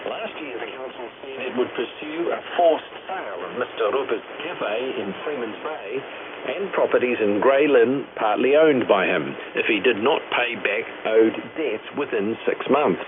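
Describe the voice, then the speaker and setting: A man's voice: mid-range voice, lively intonation, moderate pace, a crowd in the background. A man with a New Zealand accent reading a scripted radio news bulletin as presenter. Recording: noisy narrowband shortwave radio broadcast.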